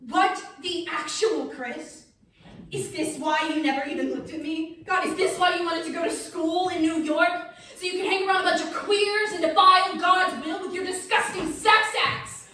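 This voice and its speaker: A woman's voice: very high-pitched, steady tone, moderate pace, bright, forceful tone. Spoiled judgmental college girl, female stage reader: piercing, sneering delivery, recorded from far off with heavy room echo. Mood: disgusted, outraged. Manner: sneering, taunting. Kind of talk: staged play reading. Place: echoey indoor room, far mic. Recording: distant, echoey room with hiss.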